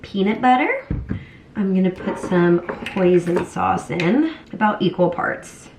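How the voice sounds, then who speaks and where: A woman's voice: mid-range voice, animated, measured pace, creaky, very noisy. A young woman with a cold, chatting candidly to camera in a casual vlog, full of fillers. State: has a cold. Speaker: vlogger. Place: home, grocery store and car.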